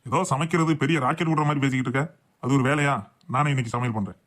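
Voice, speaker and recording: A man's voice: mid-range voice, rapid-fire, a bit bright, natural voice. A man voicing a boastful, grumbling husband and father in a comic cartoon. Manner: blustering, complaining.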